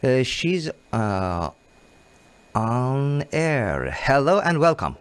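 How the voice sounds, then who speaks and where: A man hosts a live call-in show from a studio, his English halting, his short phrases repeated over and over. His pitch sits in the middle for a man. He keeps greeting viewers and guests with quick thanks. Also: sing-song, smooth. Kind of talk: casual live show hosting.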